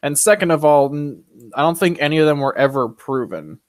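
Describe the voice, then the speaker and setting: Young guy chatting, opinionated, stumbles and restarts a lot, slightly higher voice. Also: no room sound, clean studio sound. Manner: opinionated, quick bursts with frequent restarts. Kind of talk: casual chat.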